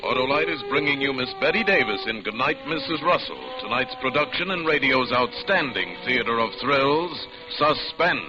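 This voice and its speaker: A man's voice: medium pitch, animated, moderate pace, hardly any pauses. A man announcing a sponsored radio program in a formal 1940s broadcast style. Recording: dull old radio recording with loud music.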